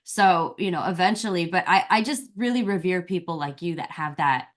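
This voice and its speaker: A woman's voice: fairly low pitch, normal speed. A woman from Virginia hosting an interview, effusive and gushing, full of "like" and "you know". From Virginia, USA. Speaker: interview host. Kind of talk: casual interview chat.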